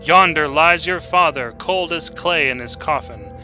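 A man's voice: a little high, unhurried, pushed and bright, soft background music. A man voicing an angry, mournful medieval wife and mother, scolding in archaic speech in a storybook reading.

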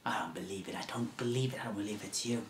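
A man playing a haunted lone survivor, hushed and roomy. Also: lowish voice, quick pace, slight background noise, pitched below the performer's own voice, natural voice. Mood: disbelieving. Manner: hushed, intense, lapsing into whispers. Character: haunted lone male survivor, suspicious and menacing. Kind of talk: amateur film scene rehearsal.

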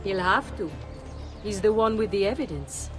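A woman's voice: mid-range voice, animated, moderate pace, over music, close to an everyday voice. A woman playing a wry, composed middle-aged Italian noblewoman and mother in a video game, with an Italian accent. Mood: serious, reassuring. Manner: composed, knowing. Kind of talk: dramatic video game acting.

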